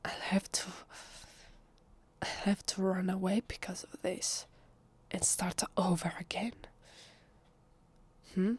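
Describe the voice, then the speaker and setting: A woman with an Italian accent playing a nervous, fragile, defensive female vampire in an ASMR roleplay, speaking softly and haltingly in a breathy, often whispery voice. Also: medium pitch, flat delivery. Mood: hurt, wary. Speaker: voice actress. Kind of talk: ASMR roleplay, soft acted monologue.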